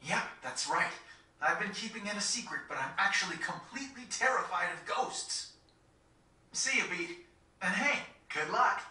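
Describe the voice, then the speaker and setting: A man's voice: high-pitched, animated, normal speed, sharp tone, heavily acted. A man voicing a cocky, sarcastic, easygoing teenage boy in a video game, with a flippant manner. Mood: breezy. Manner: flippant, dismissive. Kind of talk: video game cutscene voice acting. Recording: echoey, slightly distant game audio.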